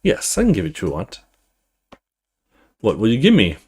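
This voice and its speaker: A man's voice: fairly low pitch, wildly swooping pitch, moderate pace, a little mellow, slightly rough, full character voice. A man voicing a demanding cosmic entity in a horror game, with a commanding, menacing manner. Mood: tempting, demanding. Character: demanding immortal cosmic entity bargaining with a mortal. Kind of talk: dramatic character reading in a game playthrough.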